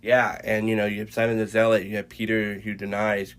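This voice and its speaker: A man co-hosting a faith podcast, congested and a little raspy from a cold, talking in a halting way with many fillers and restarts. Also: lowish voice, level tone, a bit bright. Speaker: podcast co-host. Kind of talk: casual faith chat.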